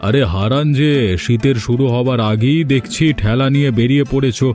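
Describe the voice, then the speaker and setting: A man voicing a friendly, nostalgic, ageing village gentleman in a cartoon fairy tale. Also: medium pitch, steady tone, fairly quick, faint music behind, natural voice.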